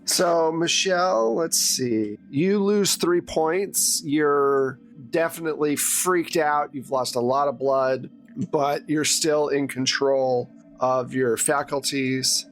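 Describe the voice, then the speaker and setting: Game master, casual conversational delivery, improvised horror narration, middle-pitched voice, faint music underneath. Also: slow.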